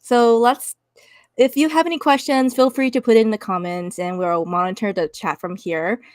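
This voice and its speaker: A woman from Canada hosting a live-streamed panel discussion, casual and halting with frequent fillers, speaking over a video call. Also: fairly high pitch, steady tone, dry recording, clean studio sound. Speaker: host.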